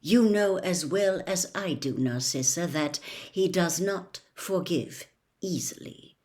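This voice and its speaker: A woman voicing a cold, controlled male wizard and spy, speaking quietly and with measured care in an audiobook. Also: lowish voice. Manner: quiet, slow, coldly measured. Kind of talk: audiobook character voice.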